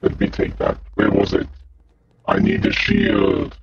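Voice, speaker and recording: A man voicing a slow, guttural demon who calmly bosses others about while commentating on a video game, through a deep voice filter. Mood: calm, musing. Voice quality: guttural, growling, gravelly. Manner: slow, drawn-out, deliberate short phrases. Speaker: gaming channel host. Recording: muffled lo-fi audio, faint noise.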